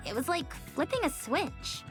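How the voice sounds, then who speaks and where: Animated, high-voiced playful game heroine. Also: female voice, moderate pace, soft background music. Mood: curious. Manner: perky, theatrical, inquisitive. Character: eager, playful, game-loving young heroine. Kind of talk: video game cutscene acting.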